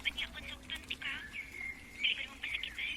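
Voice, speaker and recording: An extremely high, girlish voice sits in very loud noise. It is a woman playing a coy, sweet young girlfriend with a Bisaya accent in a radio drama. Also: flat delivery, fast talker, close to an everyday voice. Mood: shy, coy. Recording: very noisy radio drama recording.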